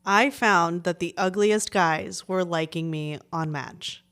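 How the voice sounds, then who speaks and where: Lower-voiced woman in her forties. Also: slow pace, fairly bright tone, smooth. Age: 40s. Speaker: podcast co-host. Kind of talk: casual conversational banter.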